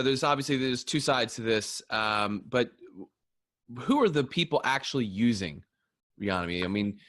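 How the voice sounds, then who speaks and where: A man's voice: medium pitch, a bit bright, no echo, clean studio sound. A man hosting a podcast interview who asks questions in a casual, chatty, joking way. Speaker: podcast host.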